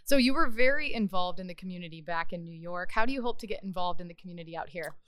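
A woman with a clean, smooth voice hosts a sports podcast. She interviews and presents team updates in a dry, close recording with no room sound. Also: lowish voice, expressive, fast talker, fairly bright tone, no room sound.

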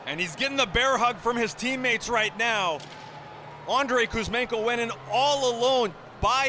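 A man's voice: extremely high pitch, sharp tone. A man giving live colour commentary, breaking down a hockey play. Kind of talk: live replay analysis. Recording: live broadcast, faint background noise.

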